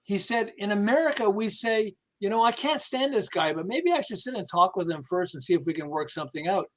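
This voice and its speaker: Podcast guest guy w/ high voice, animated, stop-start, explains stuff with stories. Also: brisk. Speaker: podcast guest, behavioral management specialist. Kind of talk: conversational anecdotal explaining.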